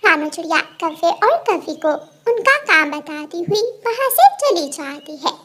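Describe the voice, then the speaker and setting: Woman narrating a kids cartoon story, super high voice, reading from a script. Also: very animated, measured pace, fairly bright tone.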